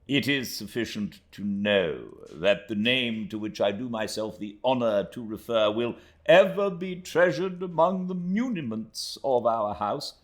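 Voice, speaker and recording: A man voicing a pompous, florid, self-pitying middle-aged gentleman, reading out a letter with grand swells and theatrical drops in his voice. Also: mid-range voice, big pitch swings, unhurried, hardly any pauses, pitched above the performer's own voice. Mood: pompous lament.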